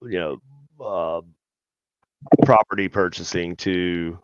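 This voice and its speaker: A man's voice: low voice, steady tone, slow, smooth, dry recording, lo-fi sound. A man with a Southern US accent co-hosting a casual podcast chat, talking animatedly with stammered restarts and chuckles. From American South. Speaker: podcast co-host.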